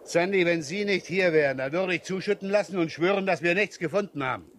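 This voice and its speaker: An actor playing a grumbling, harried police inspector giving brisk orders in a German radio crime drama. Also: male voice, high-pitched, close to an everyday voice. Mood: grumbling, irritated. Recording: noisy 1970s radio recording.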